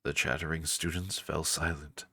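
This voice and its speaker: A man's voice: rumbling low, flat delivery, normal speed, sharp tone, husky. A man reading a visual novel aloud and commenting casually, with music behind his voice. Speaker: game player reading and reacting. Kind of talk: dramatic narration and casual commentary.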